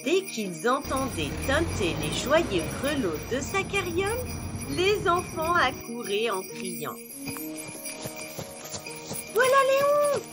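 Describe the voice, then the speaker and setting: A woman narrating children's stories in an expressive storytelling style. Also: sits high, takes it slowly, over loud music.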